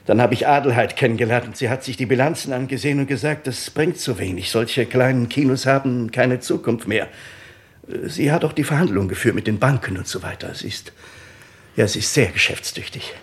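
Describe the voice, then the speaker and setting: Actor as anxious, halting husband. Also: male voice, medium pitch, lightly acted. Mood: nervous explaining. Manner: halting, stammering, defensive. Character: anxious, evasive husband, a small-town supermarket owner. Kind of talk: radio crime play acting.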